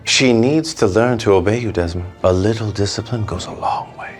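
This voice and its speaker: A man's voice: fairly low pitch, normal speed, soft background music, close to an everyday voice. An actor playing a menacing, gloating older nobleman in an audio drama, with a drawling, theatrical delivery. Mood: menacing, domineering. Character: leering, menacing older nobleman villain with a collection.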